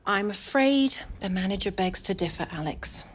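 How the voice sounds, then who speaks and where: A woman acting an unyielding football club executive in a video game cutscene, formal and measured. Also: mid-range voice, animated, a little hiss or hum, close to an everyday voice. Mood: firm, formal.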